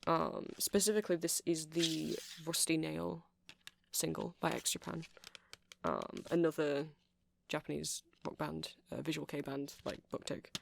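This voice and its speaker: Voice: medium pitch, measured pace, gravelly, background noise. A performer playing a nerdy, earnest vampire who sells music in a shop, in a hesitant, rambling ASMR roleplay full of self-corrections. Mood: enthusiastic, nerdy. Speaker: shop seller.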